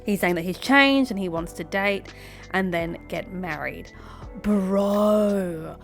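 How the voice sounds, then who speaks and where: Casting: young woman host, croaky from a cough, sassy reaction commentary, faint music behind. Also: medium pitch, takes it slowly. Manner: sassy, laughs often, mutters asides. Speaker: host reading and reacting to online posts.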